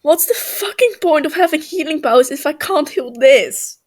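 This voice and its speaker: A woman's voice: high-pitched, animated, moderate pace, voice pushed up. A woman voicing a smug, overdramatic, grumbly young boy healer in a story reading. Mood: dramatic anguish. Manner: cheeky, overdramatic, sweary.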